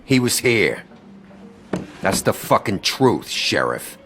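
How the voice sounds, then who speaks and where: A man playing a resentful, hot-tempered tough in a dramatic video game, coarse and sneering, with a gravelly voice. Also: on the low side, sing-song, slow pace, music in the background, exaggerated voice. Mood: surly. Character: surly, resentful, hot-tempered tough from the poor side of town.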